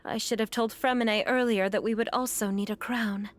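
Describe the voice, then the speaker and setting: A woman voicing a polite, gentle young female adventurer in a video game, speaking in formal, courteous phrases. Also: fairly high pitch, fairly even intonation, fairly quick, a little hiss or hum, close to an everyday voice.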